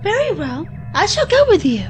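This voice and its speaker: A woman's voice: very high voice, big pitch swings, normal speed, soft background music. A woman playing an eager, formal, obedient young girl in an audio drama. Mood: eager, dutiful.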